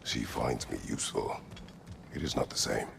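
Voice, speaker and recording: An actor playing a grim, guarded, aging warrior god in a video game, speaking in a terse, formal, stoic way with a gravelly voice. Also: male voice, very deep voice, normal speed, background noise, heavily acted. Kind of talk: dramatic video game dialogue.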